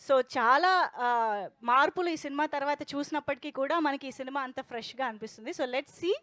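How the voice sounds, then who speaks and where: High-voiced woman hosting an interview. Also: a little fast, fairly bright tone, dry recording. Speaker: host of a film promotion interview. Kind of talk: conversational interviewing.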